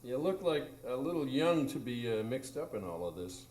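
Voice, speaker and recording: A man's voice: mid-range voice, big pitch swings, normal speed, darkish tone, close to an everyday voice. A man playing a laid-back, skeptical steamboat captain, hesitant and wry, in a live radio drama. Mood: wry, bemused. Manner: hesitant, wry, drawling interjections. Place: small improv theatre stage. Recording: roomy live stage recording.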